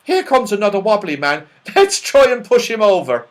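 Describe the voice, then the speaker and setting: A man voicing an excitable, scatterbrained, slightly deaf fellow from a children's storybook. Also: high-pitched, moderate pace, voice pushed up. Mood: mischievous. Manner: exclamatory, scatterbrained.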